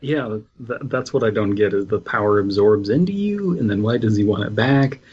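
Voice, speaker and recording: A man's voice: on the low side, expressive, moderate pace, darkish tone, husky. A man co-hosting a podcast, chatting casually and reading aloud on a dull, lo-fi microphone. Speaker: podcast co-host and narrator.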